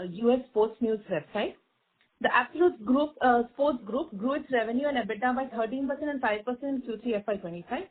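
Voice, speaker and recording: A woman's voice: a little high, husky. A woman with an Indian accent formally reading out a scripted financial report as a company executive, stumbling now and then over the figures. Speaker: company executive presenting results. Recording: tinny, band-limited conference phone line.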